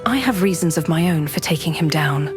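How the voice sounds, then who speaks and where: A woman's voice: low-pitched, expressive, a little fast, music in the background. A woman playing a determined, direct adventurer hero in a video game. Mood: resolute. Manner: direct, questioning.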